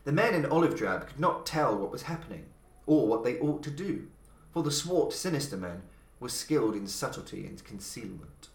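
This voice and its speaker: Male podcast host, dramatic measured storyteller with a mid-range voice, recorded in a reverberant room. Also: medium pitch, sing-song. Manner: measured, theatrical storytelling with slow emphatic passages. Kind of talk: dramatic scripted narration of a horror story. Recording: roomy echo.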